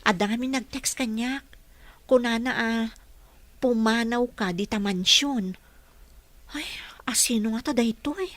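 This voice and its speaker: A woman's voice: fairly high pitch, measured pace, pauses often, dry recording. Woman acting dry-recorded, polite, patient caregiver. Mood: worried. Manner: polite, gently instructive. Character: patient, dutiful young female caregiver coaching a patient. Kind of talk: Ilocano radio drama acting.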